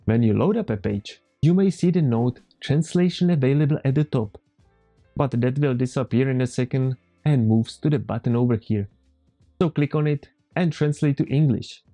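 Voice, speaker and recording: A man in his thirties with a Czech accent, calmly walking viewers through a computer tutorial step by step as the channel's host. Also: medium pitch, moderate pace, faint music behind. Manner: calm, methodical step-by-step explaining. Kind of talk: screen-recorded software tutorial. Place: home room, indoors.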